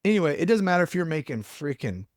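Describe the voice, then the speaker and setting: A man's voice: a little high, very animated, quick pace, dry recording, studio quality. A man hosting a casual podcast, rambling off on tangents and filling his talk with "like" and "you know". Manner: rambling, tangents, filler-heavy. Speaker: podcast host.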